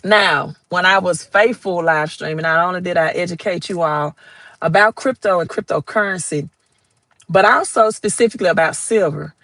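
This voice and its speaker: A woman's voice: fairly low pitch, normal speed, fairly bright tone, no room sound. A woman with a Southern accent hosting a casual crypto livestream from her phone, chatting, greeting viewers by name and reading their comments aloud. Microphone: phone held vertically.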